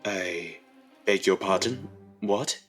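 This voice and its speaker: An actor playing an anxious, exasperated Edwardian husband, pleading in an audio drama. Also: male voice, deep voice, takes it slowly, a bit bright, faint music behind. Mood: flustered. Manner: fretful, pleading.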